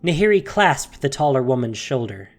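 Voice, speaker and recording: A man narrates a scripted fantasy story. His delivery is measured, solemn and dramatic, with music faintly underneath. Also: mid-range voice, big pitch swings.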